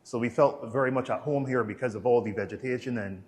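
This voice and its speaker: A man's voice: medium pitch, quick pace, darkish tone, breathy edge. A man in his thirties from Barbados with a Bajan accent, talking casually about his travels in a laid-back, colloquial way. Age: 30s. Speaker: travel vlog host. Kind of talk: casual travel narration and interview answers.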